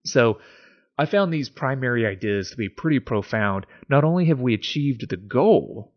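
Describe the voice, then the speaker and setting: A man's voice: medium pitch, animated, normal speed, highs cut off. A man hosting an informal video essay, explaining and dramatically reading philosophy with emphatic, theatrical delivery. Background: music.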